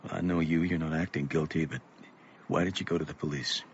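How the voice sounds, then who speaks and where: An actor playing a weary, gentle middle-aged father with a measured, melancholy, reflective way of talking in a radio drama. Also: extremely low pitch, steady tone. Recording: muffled, noisy radio play recording.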